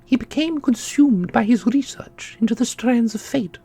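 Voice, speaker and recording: A man voicing a solemn, knowing keeper of an ancient magical order in a fantasy audio roleplay, speaking in a formal, measured way. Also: very high-pitched, dark tone, breathy edge, full character voice. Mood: solemn, wistful. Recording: music under the voice.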